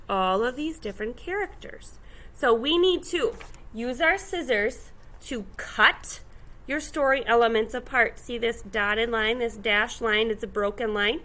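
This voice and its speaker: A woman's voice: sits high, sharp tone. A woman teacher giving step-by-step instruction to young children, phrasing things simply, stressing key words and asking guiding questions. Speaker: elementary school teacher.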